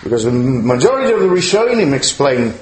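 A man's voice: medium pitch, sing-song, a little fast. A man lecturing as a rabbi, teaching religious law in an emphatic, questioning way to listeners in the room. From outside Chile, now living there. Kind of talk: religious lecture to a live audience. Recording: echoey room, faint noise, clicks.

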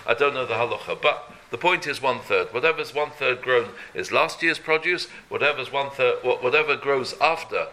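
A man teaching an informal Torah class, halting, repeating words and checking in with "yeah?". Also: mid-range voice, sharp tone, low-quality recording.

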